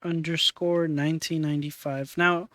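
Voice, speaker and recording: A man in his twenties hosting a stream, chatting casually in a halting way with many fillers while he works on screen. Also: fairly high pitch, level tone, pushed and bright, dry recording. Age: 20s. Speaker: streamer host. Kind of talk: casual chat.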